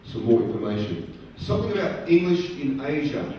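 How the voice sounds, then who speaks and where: A middle-aged man speaking to a live audience at a career event, using slow, simple, repeated phrasing and asking the crowd questions. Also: mid-range voice, very animated, mellow, dull audio. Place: large conference hall. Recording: very echoey hall, distant voice, faint noise.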